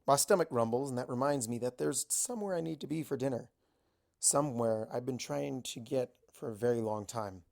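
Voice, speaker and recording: A woman's voice: very deep voice, fairly quick, gravelly, a little mellow. A woman reading a first-person story aloud as an amateur narrator. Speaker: amateur fan fiction narrator.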